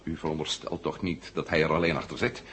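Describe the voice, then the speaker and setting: An actor playing an intense, sharp-minded investigator who thinks aloud deliberately in a vintage science fiction radio drama. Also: male voice, a bass voice, level tone. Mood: urgent. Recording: noisy vintage 1970 radio recording.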